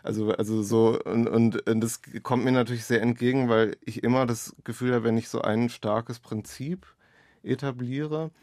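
A man's voice: mid-range voice, fairly even intonation, normal speed, a little mellow, no room sound, studio quality. A man speaking as an author in an interview, reflecting in a searching, winding way on his own writing. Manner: tentative, searching, winding sentences.

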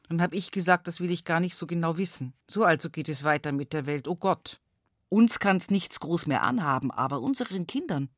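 A woman's voice: deep voice, a little mellow, no echo. An older Bavarian village woman, close and dry. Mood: resigned, worried. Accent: Upper Palatinate Bavarian. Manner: folksy, earthy dialect storytelling with emphatic repetitions. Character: older rural village woman, pious, plain-spoken, reminiscing. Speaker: radio play actor. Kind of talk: radio play monologue.

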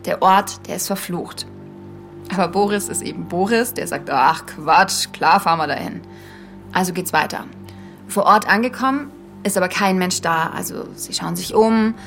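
Young woman podcaster, creaky voice, earnest, conversational storytelling, faint music behind. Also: mid-range voice, fairly bright tone. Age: young adult. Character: earnest young female podcaster haunted by a childhood memory. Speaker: podcast host and narrator. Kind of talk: conversational first-person audio drama storytelling.

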